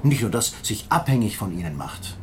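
An actor playing an impatient, sceptical police inspector who questions curtly and sarcastically in a radio drama. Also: male voice, fairly low pitch, very animated, fairly quick, slight background noise. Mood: probing, sceptical. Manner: curt, probing, sarcastic. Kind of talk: naturalistic German radio drama acting.

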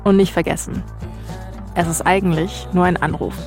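A woman's voice: lowish voice, steady tone, normal speed, darkish tone, faint music behind. A woman, hosting a podcast and narrating from a script. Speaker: podcast host.

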